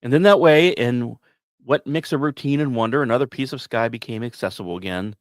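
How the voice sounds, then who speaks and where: A man hosting a podcast, reading scripted narration with casual asides in a storyteller's manner, with dramatic pauses and emphasis. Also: medium pitch, no echo, clean studio sound. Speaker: podcast host.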